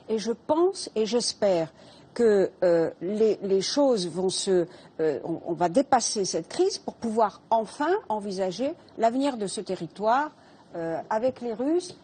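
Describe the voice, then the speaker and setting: An older woman speaking as a guest in a televised debate, measured and hesitant, with frequent fillers as she builds her argument. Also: mid-range voice, slightly rough. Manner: measured, hesitant with many fillers, soft laughing start.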